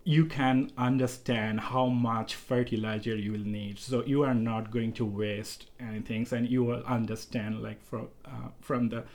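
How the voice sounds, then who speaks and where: A young man from Bangladesh answers interview questions, feeling his way through each sentence with pauses and restarts. His voice sits at an ordinary middle pitch. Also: steady tone, a little mellow. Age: young adult. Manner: halting, many fillers and restarts. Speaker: PhD student researcher.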